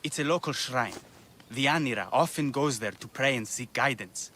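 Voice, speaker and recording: A solemn game character , acted by a man, a bereaved man set on revenge. His voice is bright and forward at a middle pitch, with bitterness in it and faint ambience behind. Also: normal speed, slight background noise, natural voice. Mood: subdued, solemn. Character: grieving, vengeful male musician who lost his brother. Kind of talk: video game dramatic acting.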